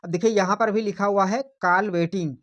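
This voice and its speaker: A man's voice: high-pitched, steady tone, brisk, fairly bright tone, muffled recording. A man hosting a tech tutorial. He walks patiently through phone settings step by step, repeats his points and keeps pointing things out.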